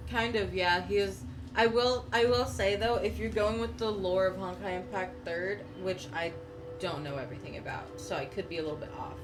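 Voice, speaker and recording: Female game streamer, mid-pitched, careful casual explainer, loud music behind. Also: normal speed.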